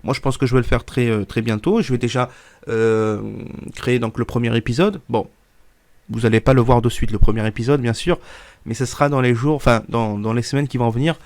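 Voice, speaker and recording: Twenties male YouTuber, congested, mid pitch, rambling vlog talk, restarts. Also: steady tone, a little fast, darkish tone. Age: 20s. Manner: rambling, many fillers and restarts. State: stuffy from a cold. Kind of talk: casual vlog talk to viewers.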